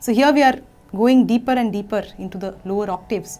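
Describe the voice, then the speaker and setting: High, mellow-voiced woman teaching singing. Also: quick pace. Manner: gentle, patient explanation with sung examples. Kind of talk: singing lesson, explaining and demonstrating phrases.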